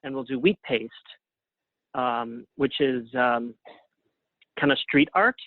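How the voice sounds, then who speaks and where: A man speaking as an interview guest in a casual, thoughtful, halting way over a tinny phone-like connection. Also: mid-range voice, dry recording. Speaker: interview guest, playwright and artist. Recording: narrow tinny phone-like line.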